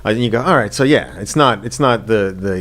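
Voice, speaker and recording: A man's voice: lowish voice, brisk, fairly bright tone. A middle-aged American man chatting casually as an interview guest, telling anecdotes and acting out quotes with loose, swearing speech. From United States, Boston area. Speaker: stand-up comedian, interview guest.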